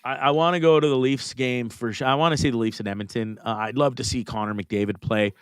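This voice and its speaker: A man's voice: mid-range voice, steady tone, fast talker, smooth, dry recording, clean studio sound. A Canadian man hosting a casual podcast, stuffed up with a sore throat, joking bluntly and swearing freely in slangy talk. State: sick with sore throat, congested. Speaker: podcast host.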